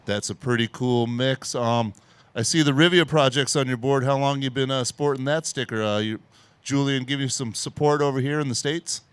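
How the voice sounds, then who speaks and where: A man's voice: medium pitch, moderate pace, smooth, no echo. A man working as a co-host, interviewing athletes casually after their heats. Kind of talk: casual post-heat interview.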